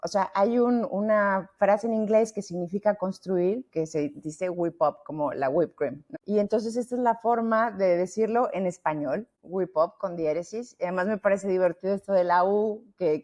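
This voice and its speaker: A talkative middle-aged woman with a Mexican Spanish accent, speaking as a podcast guest. Her voice sits fairly low and sounds warm and mellow. She restarts her sentences often. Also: moderate pace, few pauses. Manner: lively, talkative, many restarts. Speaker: founder and guest. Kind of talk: podcast interview.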